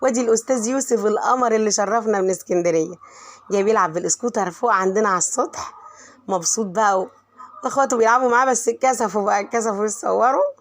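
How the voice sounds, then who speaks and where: A middle-aged woman with an Egyptian Arabic accent, hoarse from a cold, chatting warmly to viewers as she narrates her home cooking. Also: medium pitch, very fast. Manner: warm, chatty, talks straight to viewers. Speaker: home vlogger and mother.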